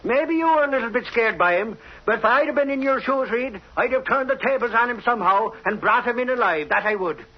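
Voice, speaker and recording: An actor playing a boastful, excitable, big-hearted Irish bodyguard with a blustery manner and an Irish accent in a 1940s radio drama. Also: male voice, very high-pitched, brisk, hardly any pauses. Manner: blustery, repeats "that I will" tags. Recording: muffled 1944 radio recording, light hiss.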